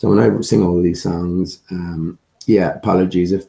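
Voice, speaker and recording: Really deep mellow guy, bit hoarse, rambling casually on a livestream, kinda hesitant. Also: fairly even intonation, moderate pace. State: slightly hoarse, occasional coughing. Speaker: livestream host and amateur musician.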